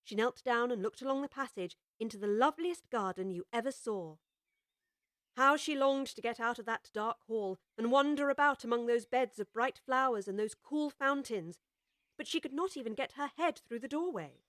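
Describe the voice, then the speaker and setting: A woman reading scripted storybook narration in a measured, expressive way. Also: fairly high pitch, no room sound, clean studio sound. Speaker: audiobook narrator.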